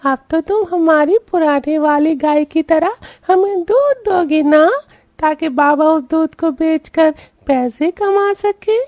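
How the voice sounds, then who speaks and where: A man voicing a sweet little village boy in a cartoon story, talking in a slow, childlike, pleading way. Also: very high voice, a little mellow, no echo, studio quality, pitched above the performer's own voice.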